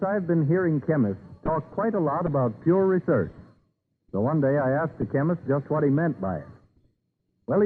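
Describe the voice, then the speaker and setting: American man, 1930s radio announcer, higher-pitched, very lively intonation, polished oratory, scripted narration, tinny vintage recording. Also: slight background noise.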